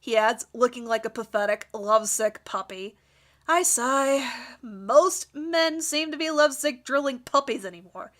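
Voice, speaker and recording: A woman's voice: a little high, measured pace, a bit bright. A woman giving a dramatic first-person reading of her own fan fiction story.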